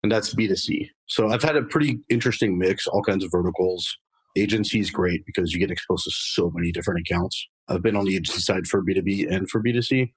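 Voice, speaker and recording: A man in his thirties, from France, with a low and slightly husky voice. He answers interview questions in a matter-of-fact way and runs quickly through lists. The sound is dry and close-miked. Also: no echo, poor audio. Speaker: marketing director, guest. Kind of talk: conversational interview.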